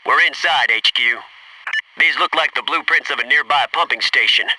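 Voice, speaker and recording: An actor playing an alert, loyal Navy SEAL squad soldier in a military shooter game, giving clipped reports and dry remarks. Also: male voice, medium pitch, normal speed, bright, forceful tone, no room sound, far from the mic, low-quality recording. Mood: focused, businesslike.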